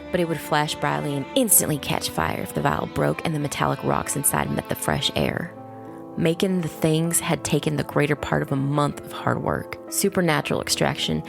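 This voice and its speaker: A woman playing a wary, resolute young witch who narrates a dark fantasy audio drama in a measured storytelling way. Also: low voice, creaky. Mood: fearful, resolute. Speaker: first-person narrator. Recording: music bed under the voice. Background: music.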